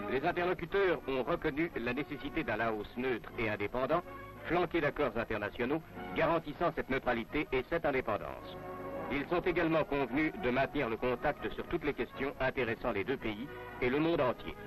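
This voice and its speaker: A male narrator reads a 1960s French newsreel script with formal announcer diction. His voice sits at a medium pitch. Music plays clearly behind him, and the sound is that of a vintage film soundtrack. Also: a little fast, poor audio. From France.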